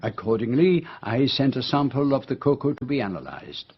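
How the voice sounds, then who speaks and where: An actor playing a methodical, patient detective in a radio drama, explaining deliberately with dramatic emphasis and pauses. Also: male voice, mid-range voice, very animated, highs cut off, a little hiss or hum. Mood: confident, probing. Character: methodical, theatrical, patient male detective unravelling a murder.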